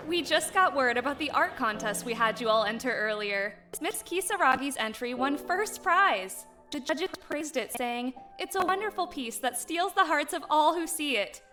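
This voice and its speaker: A woman's voice: sits high, lively intonation, moderate pace, sharp tone. A woman voicing a proud schoolteacher addressing a class in an anime dub. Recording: music behind the voice.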